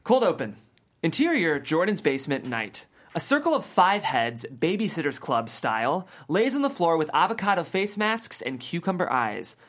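Female host and narrator for a script read, low register, lively intonation. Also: moderate pace. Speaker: show host reading stage directions.

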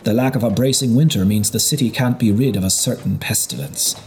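A man reading a historical novel as scripted narration, in a measured storytelling delivery. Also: fairly low pitch, a little mellow, slight background noise. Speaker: audiobook narrator.

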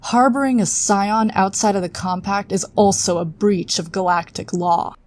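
A woman's voice: medium pitch, steady tone, normal speed, darkish tone, lightly acted. A woman playing a confident, powerful psychic agent in a sci-fi audio drama, direct and matter-of-fact.

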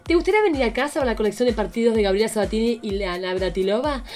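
Lady acting a flirty coy mom in a spoof radio drama, highish voice, music behind. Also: animated, very fast, darkish tone, natural voice. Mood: coy, seductive. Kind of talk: comic radio play acting.

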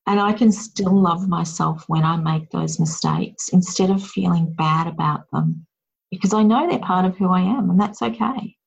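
A woman's voice: fairly low pitch, normal speed, vocal fry, slightly rough, low-quality recording. A woman hosting a casual chat, talking in a reflective, encouraging way.

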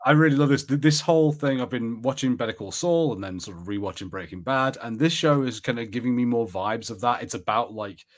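A man chatting casually as a podcast host, rambling conversationally with halting, restarted sentences. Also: mid-range voice. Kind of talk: casual chat.

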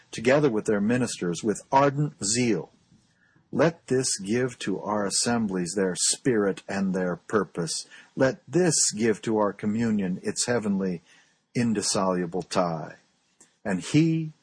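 A man with a fairly low, husky voice delivers a formal sermon from a text. His pace is slow and measured, and his oratory is solemn and earnest. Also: darkish tone. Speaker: pastor reading a historic sermon. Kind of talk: solemn read-aloud sermon.

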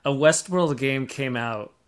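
A man co-hosting a podcast, explaining things casually in a measured, informative way. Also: mid-range voice, slight background noise. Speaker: podcast co-host.

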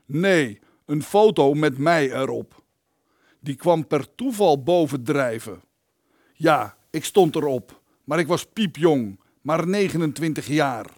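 A pompous male politician trapped in a dungeon, acted by a man for a radio play. His voice sits fairly high and is closely, dryly recorded. He is indignant and self-justifying, weighing his words slowly. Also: animated, darkish tone, no echo, studio quality, exaggerated voice. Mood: rueful, self-justifying. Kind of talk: Dutch radio play acting.

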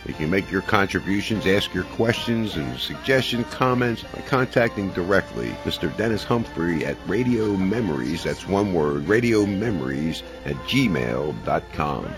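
A man's voice: deep voice, big pitch swings, moderate pace, hardly any pauses. A man hosting a podcast, delivering a spoken fundraising appeal straight to listeners. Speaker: podcast host. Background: music.

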